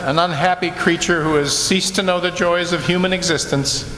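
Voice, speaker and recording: Man playing a flustered, miserable club official, highish. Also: steady tone, moderate pace, pushed and bright. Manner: stammering, tongue-tied, tearful, melodramatic. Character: flustered, miserable golf club committee president, henpecked husband. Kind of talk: old-time radio comedy acting. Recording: echoey hall, noisy background.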